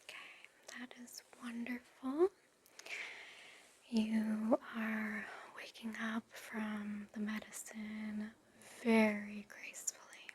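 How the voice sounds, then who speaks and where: A woman playing a gentle, attentive nurse in an ASMR roleplay, speaking in a breathy, reassuring whisper. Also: mid-range voice, flat delivery, a little hiss or hum, close to an everyday voice. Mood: gentle, soothing. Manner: slow, soothing, reassuring. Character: gentle, soothing, attentive female recovery nurse. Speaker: ASMR performer.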